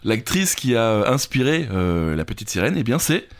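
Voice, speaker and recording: Lively, jokey Frenchman with swinging intonation. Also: mid-range voice, moderate pace, clean tone. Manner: jokey, informal. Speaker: podcast quiz co-host. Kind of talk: casual banter, quiz chat.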